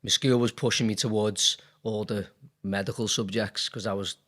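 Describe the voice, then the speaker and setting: Low-voiced Liverpool man, chatty guest. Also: steady tone, normal speed, a bit bright. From Liverpool, England. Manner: candid, rambling, many fillers. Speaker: dentist and business owner. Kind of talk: casual interview chat.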